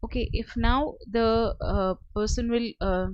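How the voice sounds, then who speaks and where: Woman giving a halting software walkthrough, dry sound. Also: fairly high pitch, fairly even intonation, smooth, dry recording, lo-fi sound. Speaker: software trainer. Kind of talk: step-by-step software tutorial narration.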